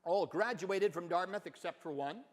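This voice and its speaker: A man giving a theatrical stage reading, with a deliberate, expressive storyteller's delivery. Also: high-pitched. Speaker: professor giving a dramatic reading. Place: stage in a hall, indoors. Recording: reverberant hall.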